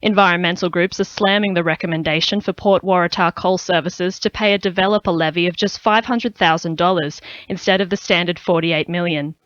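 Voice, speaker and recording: A woman's voice: on the low side, steady tone, brisk, few pauses, no room sound. A woman with an Australian accent reading a scripted news bulletin on the radio. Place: dry radio studio. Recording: slightly dull, lo-fi broadcast audio.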